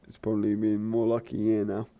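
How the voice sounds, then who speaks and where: A man hosting a gaming channel, talking in a rambling, hesitant way with lots of fillers and restarts, his voice croaky. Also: lowish voice, completely flat delivery, fairly quick, warm tone. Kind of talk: rambling let's play commentary.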